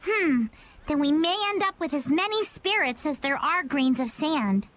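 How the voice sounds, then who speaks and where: A woman's voice: high-pitched, animated, a little slow, fairly bright tone. A woman voicing a cheerful, bold little pirate girl with quaint seafaring speech in a video game. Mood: bold, carefree. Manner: quaint seafaring turns of phrase. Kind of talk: English video game voice acting.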